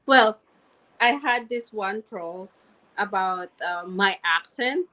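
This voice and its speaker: Slow-talking woman with a strong foreign accent. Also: medium pitch, bright, forceful tone. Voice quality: strong non-native English accent. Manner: earnest and repetitive, restarting phrases often. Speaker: interview guest, registered nurse and online marketer. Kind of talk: candid personal story in conversation.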